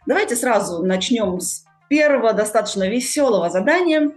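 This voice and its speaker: A woman's voice: on the high side, expressive, fairly quick, faint music behind. A woman speaking conversationally as a podcast host.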